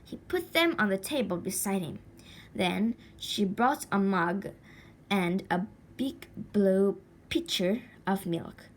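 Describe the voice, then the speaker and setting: A woman reading a children's story aloud expressively, with deliberate dramatic pauses and stretched words. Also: mid-range voice. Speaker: storybook reader. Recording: faint background noise.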